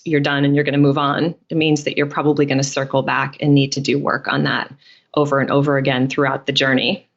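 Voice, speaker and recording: Low-voiced woman, slightly muffled audio. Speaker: podcast guest, dietitian and author. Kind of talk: conversational interview answers.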